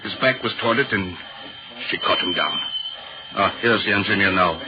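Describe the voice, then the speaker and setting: Grave railway hand, deferential, dramatic lively inflection, dull vintage radio recording. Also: male voice, on the low side, moderate pace. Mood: somber. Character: somber, respectful railway worker breaking bad news. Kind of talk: 1940s radio drama acting. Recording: dull old radio recording, loud music.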